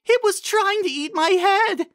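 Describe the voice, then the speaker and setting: A performer voicing an excitable, easily frightened young female pony in a fantasy story reading. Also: very high-pitched, unhurried, no room sound, clean studio sound, voice pushed up, heavily acted. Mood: panicked.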